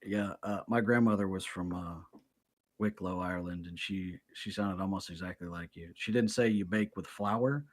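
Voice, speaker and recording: A man from Florida co-hosts a casual podcast, friendly and eager, often restarting his sentences. His voice sits on the low side. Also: moderate pace. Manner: friendly, enthusiastic, stammering restarts.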